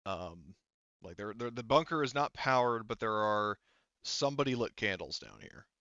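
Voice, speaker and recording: Bright, halting male game master, slightly dull audio. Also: fairly low pitch, sing-song, no room sound. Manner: halting, rambling, conversational. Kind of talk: casual improvised tabletop game narration.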